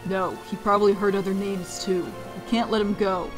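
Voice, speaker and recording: A woman playing a blunt, suspicious assassin officer in an animated fantasy series, brusque and sardonic in manner. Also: medium pitch, normal speed, natural voice. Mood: irritated. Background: music.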